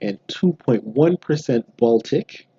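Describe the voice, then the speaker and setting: A man in his thirties from Canada, talking casually to camera at home about his results, explaining in a relaxed way with frequent ums and restarts. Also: medium pitch, slow, slightly rough, dry recording, muffled recording. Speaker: genealogy vlogger. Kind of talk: casual direct-to-camera vlog. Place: small bright room indoors, seated at home.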